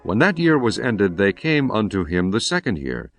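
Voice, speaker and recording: Deep-voiced, solemn narrator. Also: male voice, moderate pace. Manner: solemn, expressive. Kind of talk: scripted scripture narration. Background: faint music.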